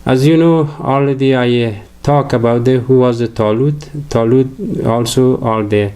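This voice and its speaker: An older man from Afghanistan with an Afghan accent, a native Farsi speaker, giving a halting religious lecture full of fillers and restarts. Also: medium pitch, dark tone, smooth. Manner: hesitant, many fillers and restarts.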